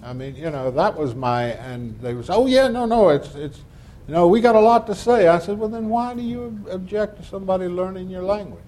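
A man's voice: high voice, brisk, dark tone, a little hiss or hum. An older man on a panel answering an audience question, telling anecdotes with wry asides and acting out quoted exchanges. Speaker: panelist, former diplomat. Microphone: panel microphone.

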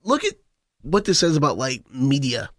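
A young man co-hosting a sports radio show, his soft voice strained and weak as he jokes his way through casual banter at a studio mic. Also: medium pitch, huge pitch swings, normal speed, no echo. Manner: self-deprecating, joking. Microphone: studio microphone.

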